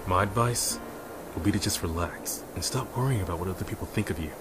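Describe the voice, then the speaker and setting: Loyal, low-voiced soldier comrade, played by a man. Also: quick pace, loud music behind the voice, close to an everyday voice. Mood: earnest, encouraging. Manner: earnest, reassuring. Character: loyal, encouraging male soldier comrade of a werewolf army. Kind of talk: fantasy audio drama dialogue.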